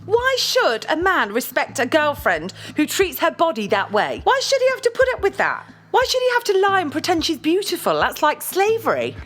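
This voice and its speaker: High-pitched, blunt British girlfriend, played by an actress. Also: huge pitch swings, moderate pace, few pauses, a bit bright, exaggerated voice. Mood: scornful. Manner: cutting, sweary, emphatic. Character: blunt, scornful, image-obsessed girlfriend. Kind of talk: audio drama dialogue.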